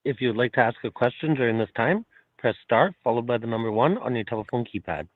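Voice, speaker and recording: Over a narrow, dry phone line, a man with a mid-pitched voice reads scripted conference call instructions as the operator. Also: quick pace, no room sound.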